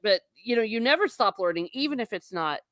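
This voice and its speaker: A woman's voice: a little high, animated, a little fast, a bit bright, no echo. A woman hosting a casual conversation, a teacher who talks in a chatty way and often laughs mid-sentence. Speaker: podcast host.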